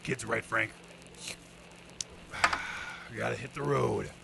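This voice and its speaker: A heavily gravelly male detective acted by a man, grumbling yet sociable. He talks in a folksy, hard-boiled 1920s radio style, over noticeable noise. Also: mid-range voice, takes it slowly. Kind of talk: old-time radio detective acting.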